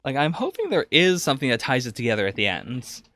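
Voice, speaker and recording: Male podcast co-host: animated intonation, forward bright tone, casual and measured critique. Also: mid-range voice. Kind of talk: casual reaction commentary on anime.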